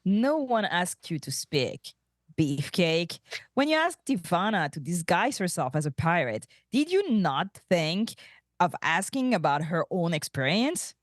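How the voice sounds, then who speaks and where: An older woman, acted out: space pirate, hard and disdainful. Her low voice moves expressively, curt and scornful, recorded close and dry. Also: big pitch swings, unhurried, no echo. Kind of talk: fan script table read.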